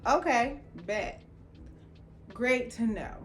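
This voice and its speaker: A young adult woman, a lawyer from Los Angeles whose first language was Jamaican Patois, tells her own story in a casual, expressive way and acts out the lines of people she quotes. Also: a little high, extremely slow, slight background noise. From Los Angeles, California. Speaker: attorney. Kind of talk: personal storytelling.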